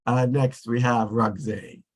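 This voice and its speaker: A man's voice: medium pitch, steady tone, slow pace. A man hosting an online get-together on a video call, introducing guests in casual chat. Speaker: host.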